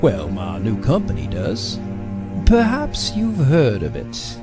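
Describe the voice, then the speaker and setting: A man acting a smug, scheming brother and business partner in a soap opera audio drama, with a drawn-out dramatic delivery. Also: lowish voice, huge pitch swings, full character voice. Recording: loud music behind.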